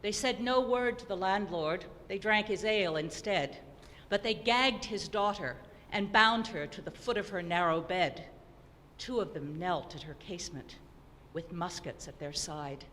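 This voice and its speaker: Woman doing a theatrical poem reading, bright forceful voice, big echoey hall. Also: on the low side. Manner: theatrical, deliberate, swinging between hushed and declamatory. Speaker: poetry performer. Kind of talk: dramatic poetry recitation. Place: opera house hall, live performance. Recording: noisy recording in a reverberant hall.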